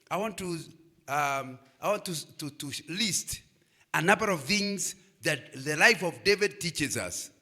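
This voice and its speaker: Animated older Kenyan man preaching. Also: on the high side. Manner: animated preaching, asks "Am I communicating?". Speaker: guest speaker.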